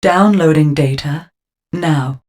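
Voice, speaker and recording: A woman voicing a composed car computer giving flat, procedural status alerts in a video game. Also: deep voice, slow pace. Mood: neutral alert. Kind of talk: video game system announcements.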